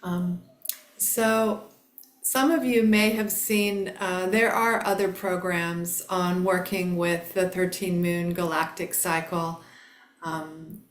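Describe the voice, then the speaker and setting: Woman host, slow gentle delivery, halting with fillers, lowish voice, echoey room. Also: fairly even intonation. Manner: gentle, hesitant, with many fillers. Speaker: program host. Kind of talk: online webinar presentation.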